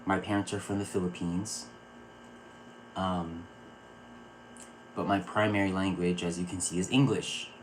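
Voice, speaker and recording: A man from Virginia hosting a spiritual live stream, talking earnestly and calming his viewers with a repeated "okay". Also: sits low, unhurried, long pauses, slight background noise, poor audio. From Virginia, USA. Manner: earnest, repeats words and restarts phrases. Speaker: psychic circle host. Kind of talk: live-stream spiritual talk answering chat.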